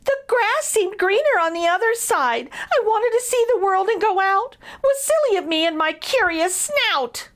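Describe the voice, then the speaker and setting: A woman's voice: very high-pitched, expressive, normal speed, hardly any pauses, a bit bright, pitched above the performer's own voice. A woman voicing a small, naive and hopeful young male mouse in a picture book, tender and pleading. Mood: sad, regretful.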